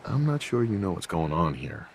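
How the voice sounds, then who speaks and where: A low, animated, commanding hero. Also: male voice, normal speed, vocal fry, slight background noise, full character voice. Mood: firm, commanding. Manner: direct, terse. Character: firm, commanding male hero giving orders. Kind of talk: video game voice acting. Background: music.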